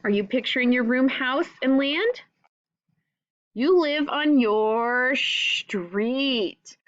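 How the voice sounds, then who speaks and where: Female primary-teacher read-aloud voice, highish and very lively in pitch, addressing kids directly; dry, slightly dull lo-fi recording. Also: extremely slow, no room sound. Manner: addresses young listeners directly with simple questions. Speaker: teacher reading a picture book aloud. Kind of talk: children's read-aloud with questions and asides.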